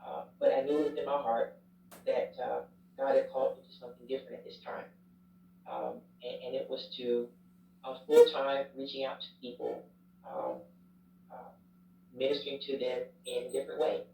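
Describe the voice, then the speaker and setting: A man's voice: medium pitch, moderate pace, vocal fry, mellow. A man, a chaplain and former youth pastor, candidly and reflectively telling his story in a casual interview. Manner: candid, reflective, self-deprecating. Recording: roomy, distant, dull sound.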